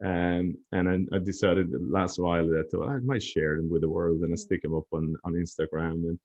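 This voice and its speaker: A man's voice: deep voice, little pitch movement, brisk, darkish tone, lo-fi sound. A man chatting as a podcast guest, telling stories in a reflective, easygoing way. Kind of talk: casual chat.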